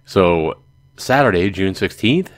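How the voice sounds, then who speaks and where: A man's voice: sits low, expressive, takes it slowly, dry recording. A man hosting a let's play, casually commenting and reading a visual novel aloud. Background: music.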